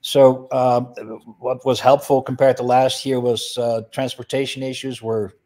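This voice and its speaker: A man's voice: medium pitch, little pitch movement, measured pace, warm tone. A middle-aged man from the Netherlands, explaining his business in a video call interview with many fillers. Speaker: guest, flower business owner. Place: indoor room.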